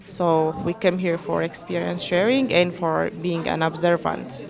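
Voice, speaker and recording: Gravelly Ethiopian woman, flat delivery. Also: fairly low pitch, a little slow. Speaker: election observer. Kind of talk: interview answer. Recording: crowd chatter behind.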